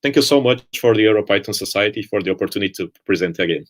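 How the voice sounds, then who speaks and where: A somewhat low male voice, speaking remotely over a video call. He answers questions thoughtfully and unhurriedly, pausing on "uh" as he searches for the words. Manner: relaxed, hesitant, many "uh"s. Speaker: conference speaker. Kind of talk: presentation and Q&A answers.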